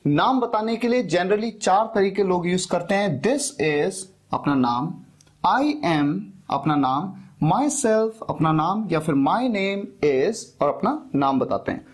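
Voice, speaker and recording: A slow, deliberate male teacher with a husky voice. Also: high-pitched. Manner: slow, deliberate dictation of example phrases; asks questions and answers them himself. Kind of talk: spoken English lesson for interviews.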